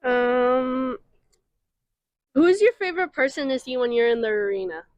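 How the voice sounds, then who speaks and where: A woman's voice: high-pitched, a little slow, no echo, highs cut off. A woman asking casual questions as an interviewer.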